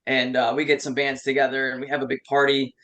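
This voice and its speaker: A man's voice: medium pitch, monotone, fast, sharp tone. A man in his twenties from Western New York, a metal band member chatting enthusiastically as a guest and swearing freely. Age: 20s. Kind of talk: casual chat.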